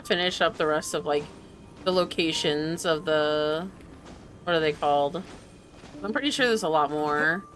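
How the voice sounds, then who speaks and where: A woman's voice: low voice, a little slow, fairly bright tone, a little hiss or hum. A woman giving casual gameplay commentary as a streamer, rambling and muttering to herself. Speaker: game streamer.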